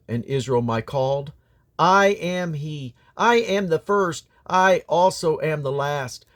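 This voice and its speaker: A man reading the Bible aloud in a solemn, declamatory way, with deliberate phrasing and emphatic stresses. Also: a little high. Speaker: scripture reader.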